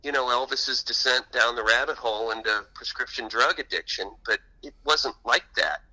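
A man's voice: mid-range voice, moderate pace, sharp tone, low-quality recording. A man being interviewed as an author, telling anecdotes in a conversational radio style.

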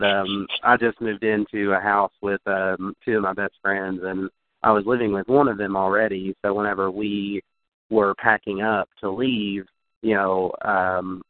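American male podcast guest, lowish voice, casual conversational delivery, dry thin phone-like audio. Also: no room sound. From Kentucky, United States. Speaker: podcast guest, comic creator. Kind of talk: casual chat.

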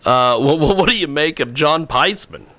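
A man hosting a radio talk show, introducing a guest in a halting, casual way with many restarts. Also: fairly high pitch, lively intonation, bright, forceful tone, no echo.

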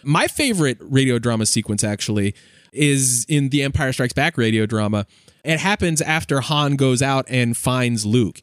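A man's voice: medium pitch, animated, normal speed, no echo, clean studio sound. A man on a podcast panel chatting casually, with a wordy, analytical way of talking. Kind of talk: casual discussion.

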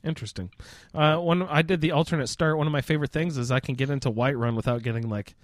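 A man's voice: on the high side, fast, no room sound, studio quality. A man hosting a casual roundtable chat about a video game, steering the group with questions. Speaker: podcast host moderating a roundtable.

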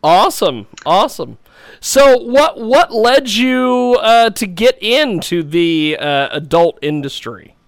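A man's voice: high-pitched, big pitch swings, slow, no echo, studio quality. A man hosting an online radio talk show, reading hype-filled promos and interviewing a guest over a call. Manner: repetitive, salesy, hyping.

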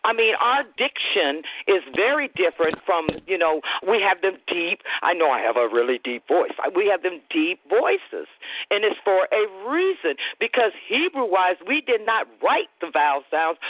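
A deep-voiced woman speaks over a dry, narrow phone line, agreeing readily and working through her points at length. She leans on fillers like "I mean" and "you know". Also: very animated, no room sound. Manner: agreeable, drawn-out explanations with many fillers. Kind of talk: casual talk on a phone line.

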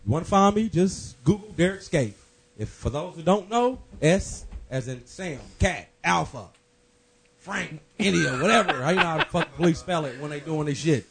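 A brash man laughs through a profane shout-out in a slightly high voice. A lively crowd chatters clearly behind him. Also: normal speed. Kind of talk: casual shout-out.

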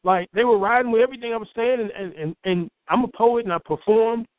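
An outspoken man from Chicago with a booming voice, sitting somewhat high in the male range. He tells his story as an interview guest, piling up repeated words when he gets going. The sound is dry and tinny, like it's coming down a phone line. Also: quick pace, no room sound.

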